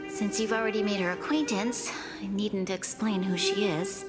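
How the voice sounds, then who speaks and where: A woman playing dignified young female leader, solemn formal speech, video game dialogue, medium pitch, clear music underneath. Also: steady tone, moderate pace, close to an everyday voice. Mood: grave, troubled. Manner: formal, grave, sincere.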